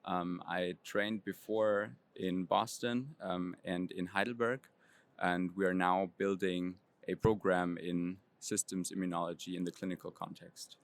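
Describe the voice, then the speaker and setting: A man, a professor, answering interview questions in a measured, precise way with frequent ums. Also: sits low, steady tone. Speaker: immunology professor. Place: convention center floor.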